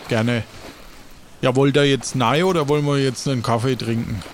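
A man's voice: mid-range voice, steady tone, normal speed, a little hiss or hum, natural voice. A man playing a laid-back, rambling sailor in improvised pirate role-play, speaking colloquially over music.

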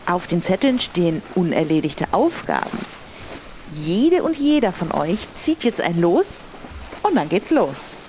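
A woman's voice: on the low side, very animated, a little slow, a little mellow. A woman playing a commanding, dramatic pirate captain who declaims to her crew in an audio play. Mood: solemn urgency. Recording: faint background noise.

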